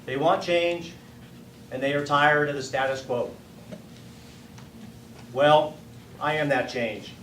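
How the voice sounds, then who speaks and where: A man's voice: fairly high pitch, fairly even intonation, takes it slowly, long pauses, fairly bright tone. Echoey, earnest middle-aged American man campaigning. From San Diego, United States. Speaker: political candidate, former Marine. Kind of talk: prepared campaign speech. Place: meeting room at a party headquarters. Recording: echoey room, distant mic, faint noise.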